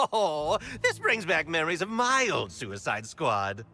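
Game villain clown: young, manic and mocking, high bright voice with slow, relished delivery. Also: male voice, huge pitch swings, full character voice. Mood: mock tragic glee. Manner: gleeful, mocking, melodramatic. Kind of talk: video game cutscene acting.

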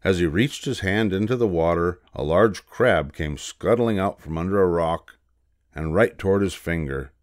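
An older man reading a children's storybook aloud as narrator, in a measured, expressive way. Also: deep voice, dry recording, studio quality.

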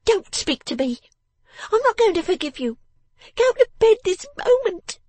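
A woman voicing a sharp-tongued, bossy, vengeful young woman in a storybook, with emphatic, snapping speech. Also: extremely high pitch, big pitch swings, a little slow, dry recording, voice pushed up, heavily acted. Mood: snapping, scolding. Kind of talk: dramatised storybook reading.

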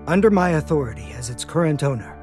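An actor voicing a brooding, soft-spoken young male wizard in a game, measured, hesitant and formally polite. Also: on the high side, expressive, lightly acted. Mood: grave, earnest. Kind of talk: dramatic English anime-style game dub. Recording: music behind the voice.

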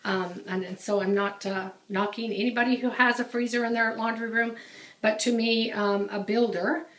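A woman's voice: fairly high pitch, fairly even intonation, moderate pace, a bit bright. A woman giving a casual home tour of her laundry room, talking while filming with a handheld camera, with many ums and restarts. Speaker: home organizing vlogger. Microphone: handheld camera, off screen. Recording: echoey small tiled room.

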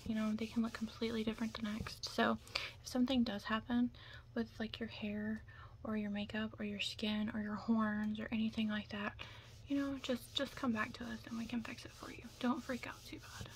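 A woman's voice: fairly high pitch, level tone, moderate pace. Whispering young woman, noisy ASMR recording. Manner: casual, chatty, reassuring makeup artist patter. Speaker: ASMR roleplay performer. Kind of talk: ASMR roleplay, whispering and soft talk.

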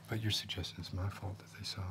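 An actor plays a gruff, stubborn middle-aged backwoods man in an ASMR drama. His very low voice stays hushed and terse, often muttering. Clear noise sits behind the close recording. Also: level tone, quick pace. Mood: terse, defensive. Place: indoor room, seated at a table.

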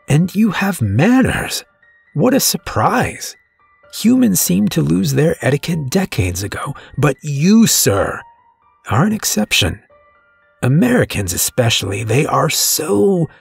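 A man voicing a pale, refined male stranger who bargains for souls, with a smooth, sharp, mock-polite drawl. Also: a little high, no room sound, full character voice. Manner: taunting, giddy, drawling. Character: pale, refined, taunting male soul-dealer.

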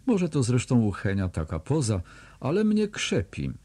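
A man's voice: medium pitch, huge pitch swings, normal speed, warm tone, husky. A man reading diary entries aloud as an audiobook narrator, with a dry, sardonic delivery that lands hard on the insults. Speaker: audiobook reader.

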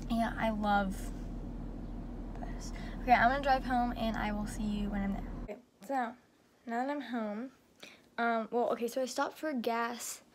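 A young woman vlogger with a slightly raspy, deep-for-her voice, rambling casually with lots of fillers, filmed on a phone in her car and later in her room. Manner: chatty, rambling, lots of "like" and "freaking". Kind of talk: casual vlog chat. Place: inside a car, then a bedroom. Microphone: phone camera on dashboard, then handheld. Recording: noisy car recording.

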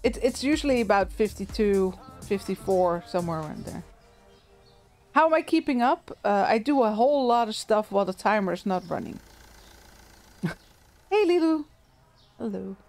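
A woman's voice: mid-range voice, normal speed, long pauses, soft background music. A woman with a soothing voice streaming a game, chatting casually with viewers and thinking aloud with fillers and small laughs. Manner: relaxed, chatty, frequent little laughs and fillers.

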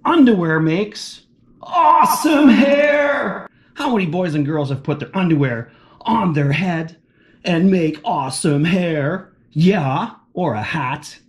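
A man's voice: sits high, slow pace. A man reading a children's book aloud, asking the young listeners questions along the way. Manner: animated, asks children questions. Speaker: children's story reader.

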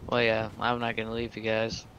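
A man speaking in improvised roleplay over game voice chat, with halting, hesitant phrasing. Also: on the low side, completely flat delivery, fairly bright tone, lo-fi sound. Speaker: player in an online zombie roleplay game. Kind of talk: improvised in-character storytelling and chat. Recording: faint noise on game voice chat.

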